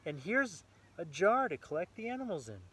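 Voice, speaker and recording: A man's voice: high-pitched, very animated, normal speed, sharp tone, breathy edge, voice pushed up, full character voice. A man voicing a kindly, helpful animal friend in a storybook, encouraging and instructive.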